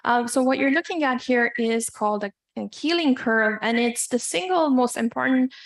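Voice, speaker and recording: A woman from Iran giving an academic lecture as a guest speaker, measured and hesitant, pausing often as she goes. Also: on the high side, gravelly, low-quality recording.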